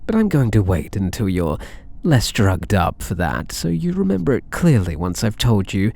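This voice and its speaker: A man's voice: lowish voice, huge pitch swings, moderate pace, gravelly, darkish tone, heavily acted. A British man voicing a possessive, smug, doting catboy cat burglar in an intimate ASMR roleplay, coaxing and teasing with theatrical endearments. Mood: playful, smug.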